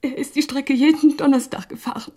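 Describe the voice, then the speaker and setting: An actress playing a grieving, doubting woman in a radio drama, speaking haltingly with a breathy, husky voice. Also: high-pitched, fairly even intonation, natural voice. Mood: subdued, insistent. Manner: hesitant, halting, hushed. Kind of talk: naturalistic radio drama acting.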